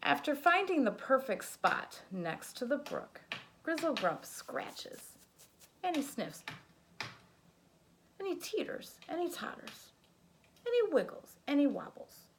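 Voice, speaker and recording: Animated woman reading a picture book aloud. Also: high-pitched, slow pace, long pauses, noisy background. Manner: animated, sound effects, asks listeners questions. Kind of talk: children's read-aloud.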